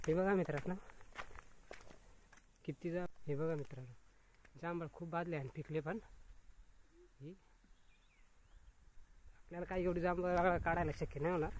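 A man's voice: fairly high pitch, slight background noise, rough recording. A young man casually talking to his viewers while picking fruit and checking fish nets by a rural river, filmed on a handheld phone. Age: young adult. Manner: chatty, repeatedly addressing friends, relaxed pauses. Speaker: fishing vlogger. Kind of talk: casual vlog talk to viewers. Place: outdoors by a rural river among trees. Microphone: phone camera held at arm's length.

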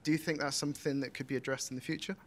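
Male audience member, articulate, medium pitch, slight background noise, conference Q&A. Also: flat delivery, racing. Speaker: audience questioner. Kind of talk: long, considered question to a panel. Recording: faint room rumble and noise.

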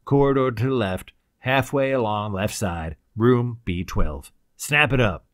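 A man voicing a brisk, fearless investigator who snaps out clipped orders in a pulp science fiction audiobook. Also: mid-range voice, dry recording, clean studio sound, lightly acted. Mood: urgent, decisive. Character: crisp, commanding male investigator, brisk and fearless.

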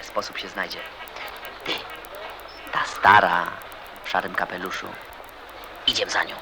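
Low, mocking young street schemer. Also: male voice, level tone, unhurried. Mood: pushy, scheming. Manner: mocking, slangy, pushy. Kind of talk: radio drama acting. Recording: city street noise.

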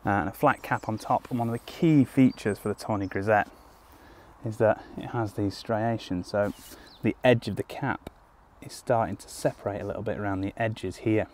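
A man's voice: lowish voice, normal speed, slight background noise. A young man, crouched in woodland, explaining step by step as a foraging guide with easygoing ums and restarts. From United Kingdom. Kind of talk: outdoor teaching walkthrough. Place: woodland clearing outdoors. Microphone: camera on a tripod at a few metres, crouching in frame.

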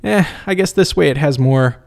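Male review host, conversational scripted narration, wry, slightly gravelly. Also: fairly high pitch, normal speed, darkish tone, slight background noise.

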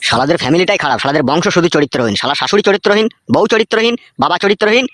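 A man's voice: high-pitched, animated, racing, a bit bright, no room sound, clean studio sound. A man playing a betrayed, vengeful young villager in a cartoon, cursing and aggrieved, with a rural West Bengali accent. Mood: bitter. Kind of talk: cartoon dialogue.

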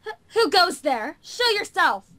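A woman's voice: extremely high pitch, sing-song, unhurried, bright, forceful tone, pitched above the performer's own voice, full character voice. A woman voicing an irritable, cocky young girl mage in an amateur anime visual novel dub, with bratty, exclamatory delivery. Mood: alarmed.

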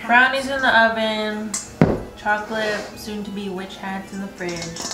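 A woman's voice: medium pitch, fairly even intonation, a little slow. A young woman co-hosting a casual baking vlog at home, laid-back and teasing, laughing often. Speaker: vlog co-host. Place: home kitchen indoors. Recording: echoey kitchen with music over it.

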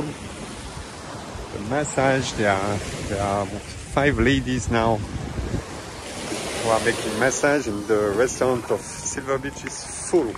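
Chatty man on a windy beach. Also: medium pitch. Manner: chatty, non-native phrasing, fillers. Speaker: travel vlogger. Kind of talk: casual walking-tour commentary. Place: outdoors on a tropical beach. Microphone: handheld phone camera. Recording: outdoor phone audio with surf noise. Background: waves and wind.

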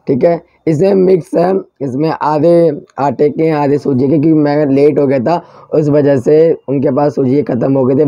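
Hoarse chatty vlogger man, dark tone. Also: medium pitch, no echo, dull audio. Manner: chatty, addresses viewers as friends. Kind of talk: casual food-challenge vlog.

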